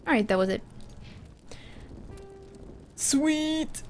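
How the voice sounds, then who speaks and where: A woman's voice: high voice, animated, very slow, faint music behind. A woman from Finland, a native Finland Swedish speaker, chatting casually on a livestream and answering her chat, with frequent restarts. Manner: chatty, restarts often, reads chat aloud. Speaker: streamer.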